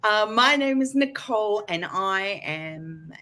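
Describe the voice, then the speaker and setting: A woman's voice: mid-range voice, expressive, slow, bright, forceful tone. A woman from Australia, a chatty and slightly flustered panelist in a casual discussion.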